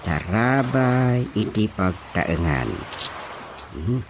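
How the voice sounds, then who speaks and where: A man with an Ilocano accent playing a flustered older father in a radio comedy drama, halting and stammering. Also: on the low side, expressive, background noise, full character voice. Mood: puzzled reading. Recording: music behind the voice.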